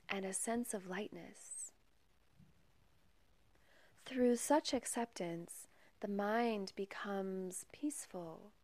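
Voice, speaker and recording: Slow, soft-spoken woman guiding meditation. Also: medium pitch, dry recording. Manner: calm, measured, gentle, with long pauses. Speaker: meditation guide and podcast host. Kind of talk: guided meditation.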